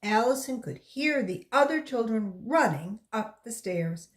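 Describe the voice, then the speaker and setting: Slow, expressive woman reading a story. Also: fairly high pitch. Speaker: volunteer story reader for children. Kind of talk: animated read-aloud storytelling for children.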